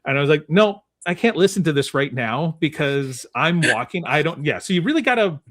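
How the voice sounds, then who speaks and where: A man's voice: on the high side, animated, a little fast, a bit bright. A man hosting a podcast in a casual, conversational style. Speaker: podcast host.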